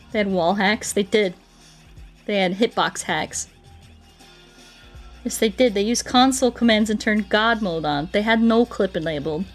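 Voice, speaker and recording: A woman livestreaming a video game, chatting casually with viewers in a giggly, playful, mock-earnest way. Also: a little high. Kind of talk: casual chat with viewers while playing.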